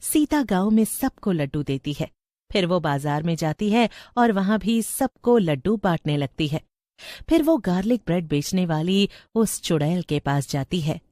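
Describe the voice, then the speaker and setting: A woman's voice: lowish voice, lively intonation, normal speed, warm tone, no echo, clean studio sound. A woman narrating a scripted story as the storyteller.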